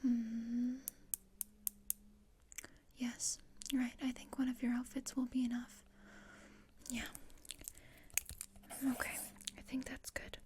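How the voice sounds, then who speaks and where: A woman playing a morbid, scheming, deadpan teenage girl in a whispered ASMR roleplay, with a measured, dryly macabre manner. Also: fairly high pitch, slight background noise. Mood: dry, plotting.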